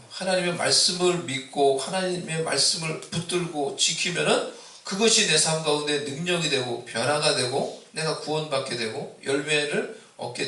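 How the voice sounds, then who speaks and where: A man from Seoul preaching an Easter sermon as pastor, with traces of Busan dialect; he climbs loud and high when he exhorts and keeps asking the congregation questions. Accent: Seoul Korean with a mix of Busan dialect. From Seoul, Korea. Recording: echoey hall, distant mic.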